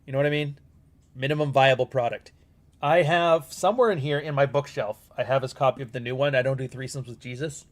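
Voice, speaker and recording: A man's voice: medium pitch, fairly even intonation, brisk. A middle-aged Canadian man with a Canadian accent hosting a casual live stream, talking bluntly with frequent swearing and rambling asides. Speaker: livestream host.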